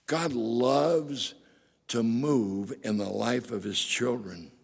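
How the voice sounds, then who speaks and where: A middle-aged male pastor preaches with a raspy, gravelly voice and a husky edge. He drives his sermon forward with emphatic rhetorical questions to the congregation. His voice echoes with a long reverb through a church sanctuary. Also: medium pitch, takes it slowly.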